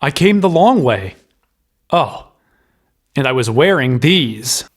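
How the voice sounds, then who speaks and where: A man's voice: mid-range voice, sing-song, takes it slowly, husky, full character voice. An actor playing a cocky, sarcastic young man in an audio drama. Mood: incredulous. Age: young adult.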